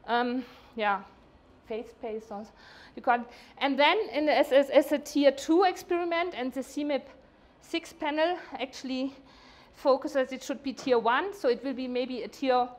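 A woman with a high voice presents research to a workshop. She is middle-aged and speaks haltingly, often stopping to rephrase as she explains technical material. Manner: hesitant, halting, self-correcting delivery. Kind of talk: conference presentation. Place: indoor workshop meeting room.

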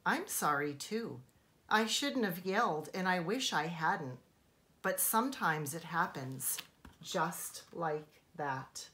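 A woman voicing an exasperated but loving storybook mother, speaking in a drawn-out, restrained way. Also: lowish voice, expressive, sharp tone, exaggerated voice. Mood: soft, apologetic. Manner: slow, drawn-out, restrained scolding with endearments.

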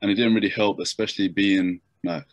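A man's voice: fairly low pitch, steady tone, a little fast, dry recording. A young man on a video call from his bedroom, telling his story with many fillers and restarts. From Japan. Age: young adult. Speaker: interview guest. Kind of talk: casual video-call interview. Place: bedroom at home. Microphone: laptop webcam mic on video call.

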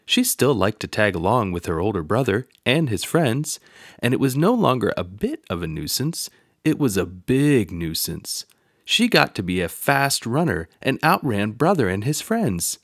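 A man narrating a children's picture book aloud in an expressive storytelling manner. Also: medium pitch, moderate pace, no room sound, studio quality.

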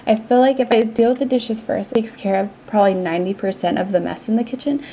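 A woman's voice: medium pitch, fast, music in the background. A young adult woman with an American accent, narrating a cleaning vlog in a casual, conversational, explanatory way. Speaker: cleaning vlogger.

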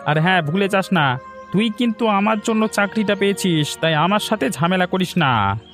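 A high voice over light music: a man performing a young cartoon man who bullies and mocks. He is cocky and argumentative, always taunting whoever he talks to. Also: expressive, normal speed, a bit bright. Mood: smug. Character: cocky, mocking young man, bullying grudging friend. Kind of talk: cartoon moral-story acting.

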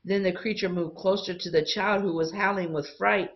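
A woman teacher reading a picture book aloud to young students in an expressive storytelling style. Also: mid-range voice, moderate pace, highs cut off.

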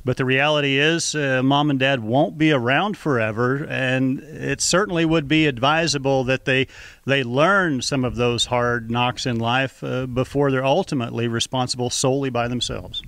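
Man, farm radio interviewer, measured pace, dry close sound. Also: mid-range voice, fairly even intonation, fairly bright tone, dry recording. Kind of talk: interview wrap-up.

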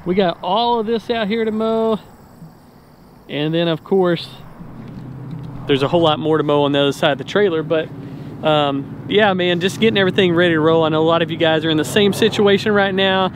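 A man's voice: high voice, brisk, noisy background. A middle-aged American man chatting casually and informally to camera as a lawn care vlogger, outdoors on a handheld camera. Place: outdoors in an open paved lot. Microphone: handheld camera at arm's length.

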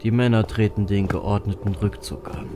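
A man's voice: lowish voice, steady tone, moderate pace, over music, natural voice. A man playing a grim, wry reaper ferryman who narrates a fantasy horror audio drama. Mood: tense, grave.

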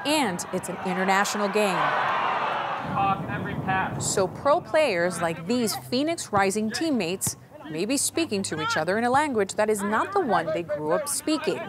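A young adult woman, the host, giving polished, expressive scripted documentary narration. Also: mid-range voice, moderate pace, heavy background noise.

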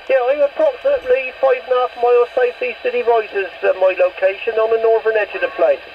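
A man's voice: very high voice, fairly even intonation, fast talker. A man chatting casually as a CB operator over a two-way radio. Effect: two-way radio transmission. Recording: dull, faintly noisy CB radio reception.

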